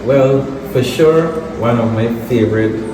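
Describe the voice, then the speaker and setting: A young man with a Guyanese accent, talking about his music as an interview guest, relaxed and halting with many fillers. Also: mid-range voice. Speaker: guest, soca artist. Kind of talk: casual interview. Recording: echoey room, distant mic, noisy.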